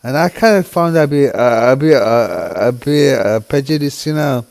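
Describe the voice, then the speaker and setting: A man from the UK talks quietly to his viewers in a soft, mellow, rather dark voice. He speaks haltingly, with frequent restarts and "you know" fillers, sounding subdued and heavy-hearted. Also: medium pitch, expressive, vocal fry. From United Kingdom. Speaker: vlogger. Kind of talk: emotional personal monologue.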